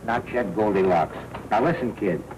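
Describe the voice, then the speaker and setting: An American actor playing an anxious, slangy newspaper sidekick in a 1940s noir film. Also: male voice, fairly low pitch, moderate pace, close to an everyday voice. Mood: urgent, worried. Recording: hissy 1940s film soundtrack.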